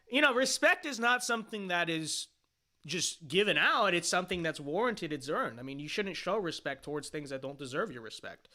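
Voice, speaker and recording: A man with a high, bright voice gives loose, opinionated livestream commentary. He wanders through fillers and half-started sentences, then hammers his points with blunt, dismissive emphasis. The tone stays casual and edgy throughout. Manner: rambling and opinionated, heavy fillers and restarts. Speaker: streamer reacting to his own panel appearance.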